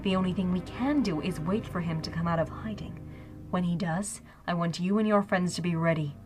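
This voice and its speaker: Regal pony ruler, female actor: solemn, stern, lowish female voice, audio drama over music. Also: lightly acted. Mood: firm, commanding. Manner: measured, solemn. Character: regal, grave elder pony princess, calm and stern. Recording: music behind the voice.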